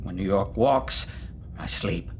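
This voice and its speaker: An actor playing a reclusive, anxious and lonely hermit in an audio drama, speaking haltingly in short plaintive sentences. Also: male voice, low-pitched, slight background noise. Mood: plaintive, weary.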